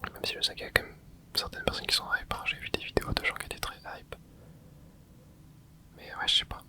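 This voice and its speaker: A young adult ASMR host whispering casual, rambling chat while playing a video game, with many hesitant fillers. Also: fairly quick. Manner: soft unvoiced whisper throughout. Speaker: ASMR gaming channel host. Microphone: close mic.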